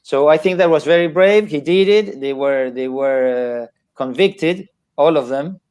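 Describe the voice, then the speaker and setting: An older man from Argentina, talking as a guest author on a video call, halting and restarting often with small chuckles. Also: a little high, a little mellow, clear, resonant tone. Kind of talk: casual chat on a video call.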